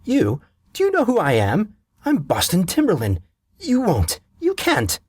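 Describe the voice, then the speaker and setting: A man's voice: high-pitched, huge pitch swings, unhurried, breathy edge, dry recording, studio quality, pitched above the performer's own voice, heavily acted. A man voicing a furious young man who threatens a rival in a cold, clipped way. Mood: menacing.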